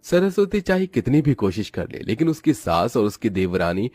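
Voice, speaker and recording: A man's voice: medium pitch, big pitch swings, moderate pace, a little mellow, no room sound, faint music behind. A man narrating a scripted moral story as its storyteller.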